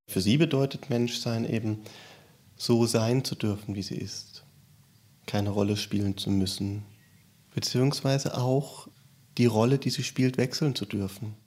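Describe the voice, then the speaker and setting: A man giving calm, measured commentary as an interviewee. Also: medium pitch.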